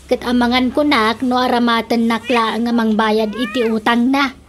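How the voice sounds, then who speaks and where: A woman's voice: on the high side, level tone, normal speed, slight background noise, lightly acted. A woman playing a quarrelsome, scolding village mother in a comedy radio drama, exclaiming and arguing with an Ilocano accent. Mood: grumbling. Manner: nagging, exclamatory, argumentative.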